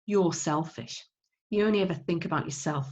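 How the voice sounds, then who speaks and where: A woman's voice: low-pitched, normal speed, darkish tone, highs cut off, close to an everyday voice. A woman voicing a self-important, scolding middle-aged politician mother in an audiobook, with an emphatic, exasperated manner.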